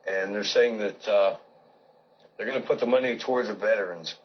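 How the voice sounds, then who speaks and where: A man on CB radio, explaining in casual, halting chatter. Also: on the low side. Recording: dull lo-fi CB radio, phone-recorded.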